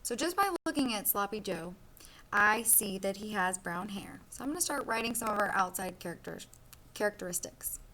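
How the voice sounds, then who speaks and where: A woman's voice: mid-range voice, animated, moderate pace, sharp tone, poor audio. A woman teacher leading a read-aloud lesson for children, explaining step by step in simple words. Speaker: elementary school teacher.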